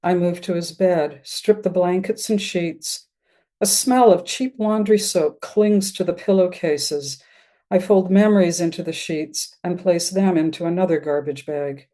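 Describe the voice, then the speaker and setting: An older woman reading her own literary piece in measured, plain-spoken narration. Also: lowish voice, warm tone. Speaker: writer reading own work. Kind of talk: literary prose-poem reading.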